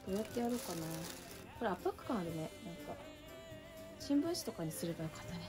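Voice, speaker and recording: A woman's voice: medium pitch, sing-song, fast talker, music in the background. A middle-aged woman chatting casually as she shows plants to the camera in her home entryway. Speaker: succulent channel vlogger. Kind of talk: casual chat. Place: indoor entryway with a shelf.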